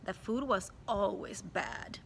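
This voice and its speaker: A woman's voice: medium pitch, big pitch swings, slow, vocal fry, a little hiss or hum. A woman teacher reading a chapter book aloud to children and stopping to explain it to them. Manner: warm, explains to children directly. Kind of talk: read-aloud storytelling with commentary.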